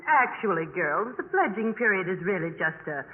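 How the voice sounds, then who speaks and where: An actress playing a fussy, gently meddling older sorority house mother in 1950s radio drama, genteel, hesitant and fluttery. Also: medium pitch, sing-song, moderate pace, lightly acted. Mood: genteel pleading. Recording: tinny old radio broadcast, hall echo.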